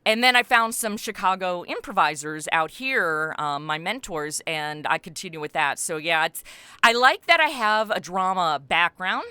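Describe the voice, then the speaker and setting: A middle-aged American woman talking casually as an interview guest about her creative work, animated and informal. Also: fairly low pitch, normal speed, clear, resonant tone, dry recording. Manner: animated, informal, peppered with like and you know. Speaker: interview guest, comedy podcast writer and voice actor.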